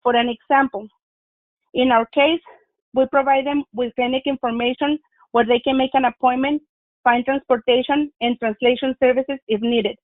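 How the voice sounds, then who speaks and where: A woman's voice: sits high, steady tone, normal speed, no echo. A woman presenter reading an instructive webinar talk from a script. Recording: tinny phone-line audio, dry.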